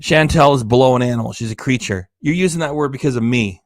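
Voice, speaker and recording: A man's voice: mid-range voice, fast talker, dry recording, studio quality. A man hosting a reaction livestream, ranting with sarcasm and swearing, tired and losing his voice. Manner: sarcastic, indignant, swears often. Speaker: reaction channel host.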